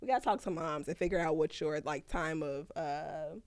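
A woman's voice: sits low, fairly even intonation, normal speed, a little mellow. A woman podcast host, chatting casually and teasing her guest with laughter and swearing. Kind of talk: casual chat.

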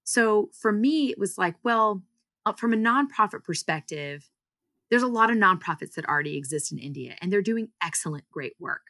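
A woman's voice: mid-range voice, expressive, moderate pace, sharp tone, dry recording, studio quality. A woman from the US and Singapore, a founder giving explanatory, conversational answers as an interview guest. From United States and Singapore.

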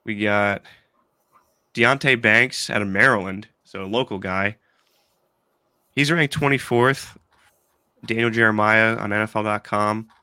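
A man's voice: lowish voice, a little slow, long pauses, sharp tone, smooth, no room sound, studio quality. Congested man hosting a sports podcast. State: congested from allergies. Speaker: podcast host. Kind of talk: casual sports chat.

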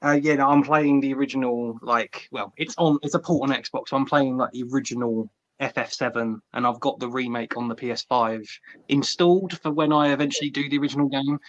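Guy on a podcast, muffled lo-fi mic, casual slangy chat, normal pitch. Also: steady tone, brisk. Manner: informal, slangy. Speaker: podcast panelist. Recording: dull lo-fi voice recording.

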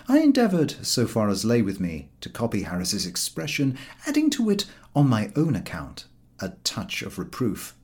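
Male audiobook reader for comic fiction, expressive scripted delivery with a hugely varied pitch.

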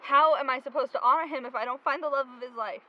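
A woman's voice: high-pitched, fast talker, dull audio, close to an everyday voice. An actress playing a driven, stubborn young woman in grief, speaking insistently in an audio drama. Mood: urgent, pleading. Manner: insistent, urgent. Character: driven, stubborn, grieving young woman hunting her missing friend.

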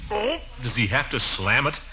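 An actor playing a fussy, exasperated middle-aged uncle, pompous and blustery, in 1940s radio comedy style. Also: male voice, mid-range voice, wildly swooping pitch, moderate pace, loud music behind the voice. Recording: dull, noisy 1940s radio recording.

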